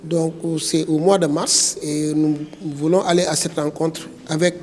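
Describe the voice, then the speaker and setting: A man's voice: a little high, level tone, measured pace, a little mellow, slight background noise. A man with a Senegalese accent giving a spoken statement to the press as spokesman for a network, leaning on repeated filler phrases. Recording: reverberant hall.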